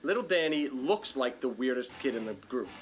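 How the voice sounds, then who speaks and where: A man's voice: medium pitch, expressive, normal speed, a little hiss or hum. A man talking bluntly in a reality show interview as a tattoo shop owner introducing his crew. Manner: blunt, swearing. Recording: music behind the voice. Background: guitar music.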